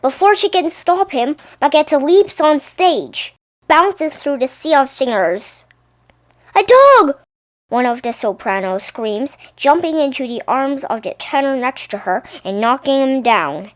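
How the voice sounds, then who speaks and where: A woman narrating a children's story in an animated, theatrical read-aloud style. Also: high-pitched, unhurried, dry recording. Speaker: storybook reader.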